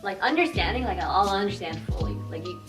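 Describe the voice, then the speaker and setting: A woman's voice: medium pitch, a little fast. A young woman telling family stories casually over dinner, easygoing with many fillers. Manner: relaxed storytelling with fillers. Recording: roomy, distant mic, loud music under.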